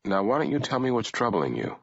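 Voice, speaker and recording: A man voicing a calm, weary, patient male protagonist in a storybook, speaking gently and laconically in a low, husky voice. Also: fairly quick, dry recording, muffled recording, heavily acted. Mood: calm, guarded.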